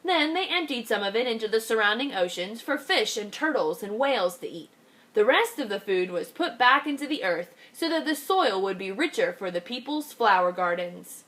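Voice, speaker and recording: Lively woman reading a picture book to kids. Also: a little high, moderate pace. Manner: lively, expressive reading to young children. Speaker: kindergarten teacher. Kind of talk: read-aloud storytelling for children.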